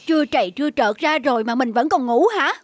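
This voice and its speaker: A woman voicing a sensible, hardworking young peasant wife in an animated folk tale, earnest and nagging. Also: high-pitched, a little fast, over music.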